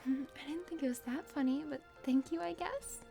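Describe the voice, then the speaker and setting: A woman voicing a friendly, awkward young adventurer heroine, hesitant, casual and gently teasing, in an ASMR play-through of a dating game. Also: high voice, brisk, creaky, music in the background, voice pushed up. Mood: awkward, curious.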